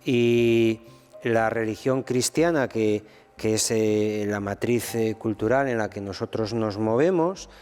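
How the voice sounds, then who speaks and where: A man's voice: lowish voice, takes it slowly, a little mellow, faint music behind. Hesitant man in a hall. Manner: hesitant, many restarts and fillers. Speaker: theologian guest. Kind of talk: panel discussion.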